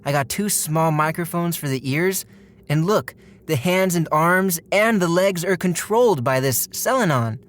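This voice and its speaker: An actor playing a stubborn, proud, obsessed robot builder in an audio drama, terse, earnest and defensive. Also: male voice, on the high side, expressive, measured pace.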